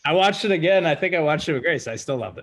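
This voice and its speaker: A man with a slightly high voice chats casually as a podcast co-host. He talks in a laid-back, informal way and swears now and then. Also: expressive, fast talker, a bit bright. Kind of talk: casual chat about movies.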